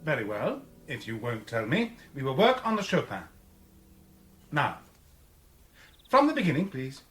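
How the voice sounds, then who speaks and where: An actor in a radio drama playing an earnest piano teacher, courteous but insistent, with a precise, instructive way of talking. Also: male voice, medium pitch, huge pitch swings, a little slow, fairly bright tone. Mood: resigned, firm.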